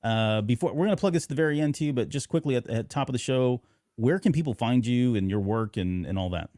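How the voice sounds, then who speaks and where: A man's voice: medium pitch, animated, fast talker, dark tone, no echo, clean studio sound. A middle-aged man hosting a podcast, chatting casually and informally, often doubling back on the phrasing. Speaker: podcast host.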